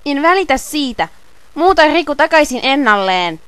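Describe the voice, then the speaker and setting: A woman's voice: high voice, sing-song, fairly bright tone, a little hiss or hum. A woman playing an earnest, stubborn teenage boy hero in an amateur video game fandub. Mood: demanding.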